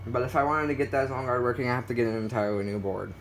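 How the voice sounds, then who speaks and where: A man's voice: medium pitch, very fast, slight background noise. A man talking casually and off the cuff as he shows items in a collection, a bit self-deprecating. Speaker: collector showing a weather radio collection. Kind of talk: casual unscripted show-and-tell.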